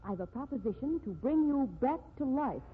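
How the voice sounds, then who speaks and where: An actress playing a well-off, determined society woman with a brisk, concerned manner in a 1950s radio drama. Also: high-pitched, expressive. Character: insistent well-off society woman, successful writer. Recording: tinny, noisy 1950s radio recording.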